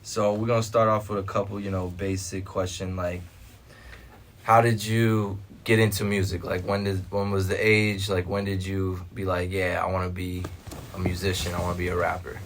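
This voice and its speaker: A man's voice: sits low, flat delivery, normal speed, recorded from a distance, noisy background. A man from Florida hosting an interview, asking rambling, filler-heavy questions in a casual way.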